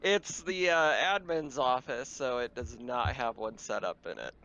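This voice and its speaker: Male game master, average pitch, informal delivery, slightly dull and noisy online audio. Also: lively intonation, normal speed, bright, forceful tone. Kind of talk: casual chat running a tabletop game. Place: online voice call. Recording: dull, faintly noisy voice chat.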